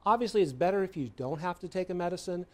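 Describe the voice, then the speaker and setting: American man, expert interviewee, explanatory, mellow timbre, somewhat high pitch. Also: animated, quick pace. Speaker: sleep medicine expert being interviewed.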